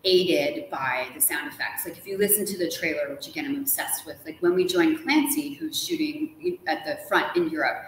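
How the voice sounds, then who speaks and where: Casting: middle-aged woman author in live conversation, lowish voice, recorded in a boomy, reverberant room. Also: animated, moderate pace, hardly any pauses. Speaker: author guest. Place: hotel lounge with armchairs. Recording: echoey room, distant mic, faint hiss.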